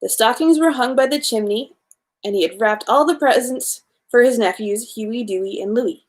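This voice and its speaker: A woman's voice: a little high, normal speed. A woman hosting a children's story time, reading a storybook aloud in an informal way with small stumbles.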